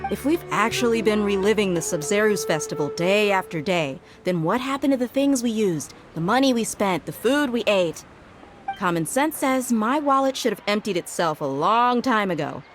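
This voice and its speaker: A woman's voice: mid-range voice, expressive, moderate pace, faint music behind, natural voice. A woman playing a confident, sceptical swordfighter in a video game, with a blunt, casual delivery. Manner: blunt, casual, self-assured. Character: confident, sceptical, plain-spoken female swordfighter. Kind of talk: video game cutscene acting.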